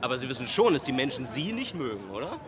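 A confrontational teenage bully acted by a man, sneering in quick, jumpy bursts. It is radio drama played on a street with a crowd behind. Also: mid-range voice, big pitch swings, lightly acted. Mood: taunting. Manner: confrontational, taunting.

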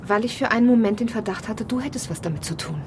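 A woman's voice: fairly high pitch, animated, quick pace, darkish tone, slight background noise, lightly acted. A woman playing a serious, suspicious woman in a crime radio play, speaking urgently and directly. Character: Julia, serious woman confronting a man with suspicion.

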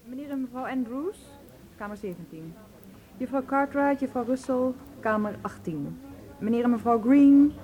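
A woman's voice: fairly high pitch, lively intonation, moderate pace, dark tone, lightly acted, poor audio. An actress playing a young, anxious but determined female tour guide in a radio drama, speaking earnestly. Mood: brisk, busy. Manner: earnest, insistent. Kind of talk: Dutch radio drama acting. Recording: radio play, clear background noise.

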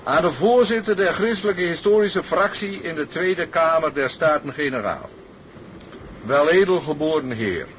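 An older sea captain, played by a male actor, formal and earnest with kindly pomp. His delivery swings widely in pitch, in declamatory radio drama style, over faint background noise. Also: expressive, normal speed, natural voice, poor audio. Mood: solemn, pompous. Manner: pompous, formal, declamatory when dictating. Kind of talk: Dutch radio drama acting.

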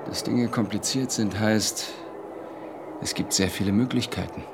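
An actor playing an evasive, placating husband in a subdued radio drama, speaking in terse, quiet, hesitant lines. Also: male voice, on the low side, unhurried, vocal fry, noisy background.

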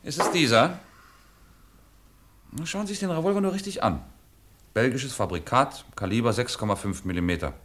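An actor playing a stern, insistent police inspector who questions in a clipped, pressing way, in radio crime drama style. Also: male voice, medium pitch, animated, normal speed, pauses often, fairly bright tone, natural voice. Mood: probing, controlled.

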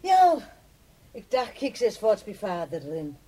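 Grown up daughter, soft mellow voice, easygoing, echoey n a bit distant, radio play. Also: female voice, mid-range voice, very animated, slow, a little hiss or hum. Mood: relaxed, fond. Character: relaxed grown daughter visiting her father. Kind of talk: naturalistic radio drama acting. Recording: roomy, away from the microphone.

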